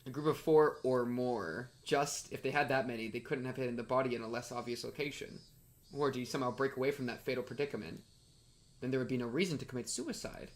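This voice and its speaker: A man's voice: mid-range voice, fast. A young man giving casual, slangy playthrough commentary on a visual novel and reading text aloud. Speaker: streamer playing a visual novel.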